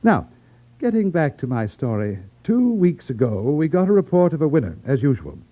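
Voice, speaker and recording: Suave elderly racketeer, vintage radio drama: exaggerated politeness over menace, very lively pitch, phone-like old-broadcast sound. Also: male voice, normal speed. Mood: brisk, businesslike. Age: older. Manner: over-polite, fussy, hesitant ums, condescending. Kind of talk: 1950s radio drama acting. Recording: tinny, narrow-band vintage radio recording.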